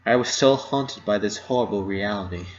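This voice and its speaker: A man reading fan fiction dramatically in the first person, with emotional, halting narration. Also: fairly low pitch, highs cut off, slight background noise. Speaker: amateur story reader.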